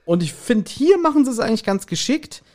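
A man's voice: very high voice, big pitch swings, fairly quick, darkish tone. A man with speech co-hosts a podcast, explaining his points casually and colloquially and checking for agreement. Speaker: podcast co-host.

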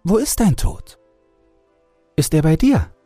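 A man voicing a young fairy-tale princess in an airy falsetto, sweet, pleading and falsely affectionate. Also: sing-song, slow, mellow, no room sound, soft background music, exaggerated voice. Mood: coaxing, curious.

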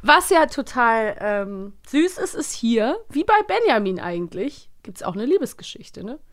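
Female podcast co-host, slightly high register, informal and animated storytelling in relaxed conversation. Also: measured pace. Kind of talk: casual chat about children's audio plays.

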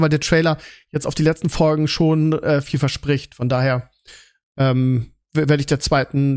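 A man with a fairly high voice hosts a casual podcast. He talks in a conversational way, often doubling back on words while he shapes a thought. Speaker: podcast host. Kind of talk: casual podcast chat about series and films.

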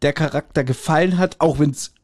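A man's voice: a little high, moderate pace, a little mellow, no room sound, clean studio sound. A man co-hosting a podcast, chatting casually in blunt, slangy language. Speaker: podcast co-host. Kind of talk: casual chat reviewing an audio drama. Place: remote recording at a computer, on video call.

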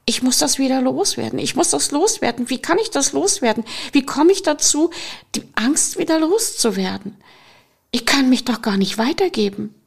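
A woman's voice: medium pitch, moderate pace, creaky, hardly any pauses, a bit bright, breathy edge, heavily acted. A woman voicing Fear, a frightened grey creature in a storybook tale, anxious and pleading. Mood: panicked. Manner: anxious, pleading, rising panic.

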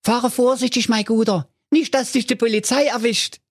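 A man voicing a naive, cheerful simpleton, speaking high and eagerly. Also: fairly even intonation, normal speed, breathy edge, no echo, studio quality, full character voice. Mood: overjoyed. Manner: high, eager and good-natured.

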